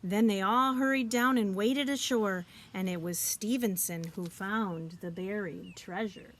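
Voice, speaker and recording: A woman reading a children's picture book aloud, expressively and deliberately, as a teacher would for young listeners. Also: mid-range voice, slight background noise. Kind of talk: children's storybook read-aloud.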